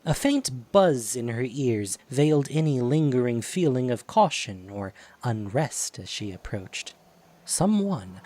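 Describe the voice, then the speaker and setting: Male narrator for audio drama: medium pitch, scripted storytelling, faint noise behind. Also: warm tone.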